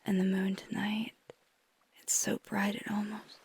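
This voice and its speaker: A woman playing a flirty, tender vampire in an intimate ASMR roleplay, speaking softly and gently, mostly in a breathy whisper. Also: medium pitch, monotone. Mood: soft wonder. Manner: slow, gentle, hesitant, teasing.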